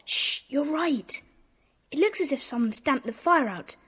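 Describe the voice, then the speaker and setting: Bossy, high-voiced schoolboy leader. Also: female voice, animated, a bit bright, long reverb tail. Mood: commanding, wary. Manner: commanding, brisk. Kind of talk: children's audio drama acting.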